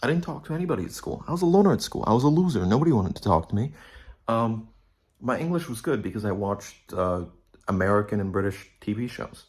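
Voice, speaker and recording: Guy in his 20s talking low n blunt to the camera, swears a lot. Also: expressive, fast, darkish tone. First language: Polish. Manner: rambling, blunt, swears freely. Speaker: vlogger. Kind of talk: casual monologue to camera.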